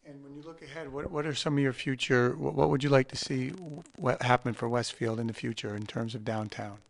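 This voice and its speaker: A man hosting an interview, asking halting questions full of restarts. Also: medium pitch, steady tone, creaky. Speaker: host.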